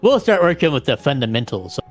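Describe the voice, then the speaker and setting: A man's voice: mid-range voice, sing-song, brisk, soft background music. A man playing a tabletop vampire game, narrating his character's actions casually and often repeating words as he goes.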